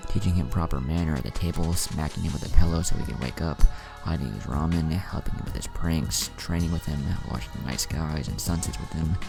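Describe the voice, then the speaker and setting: Very deep, gravelly male storyteller over music. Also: a little fast. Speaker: narrator of fan fiction. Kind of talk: storytelling narration, reading aloud with character dialogue. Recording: music playing behind the voice. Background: background music.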